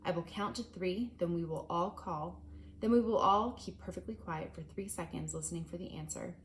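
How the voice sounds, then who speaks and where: A woman's voice: on the low side, normal speed, slight background noise, natural voice. A woman reading aloud, voicing a stern, proper father who gives orders formally. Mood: measured. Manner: commanding and formal.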